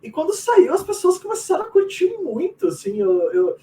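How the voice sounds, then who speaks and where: A man's voice: very high voice, huge pitch swings, normal speed, warm tone. A man with a Brazilian accent chatting casually and slangily as a guest, telling anecdotes and quoting his own thoughts. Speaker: game writer, interviewee. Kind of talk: casual chat, animated anecdotes. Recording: distant mic with faint room noise.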